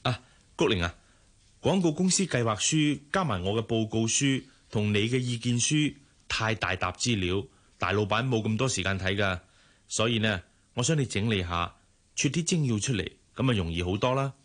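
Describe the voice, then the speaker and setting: An actor playing a courteous, hardworking general manager in a radio drama, measured and polite, with a Hong Kong Cantonese accent. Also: male voice, on the low side, lively intonation, a bit bright. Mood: businesslike. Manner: measured, polite, familiar with subordinate.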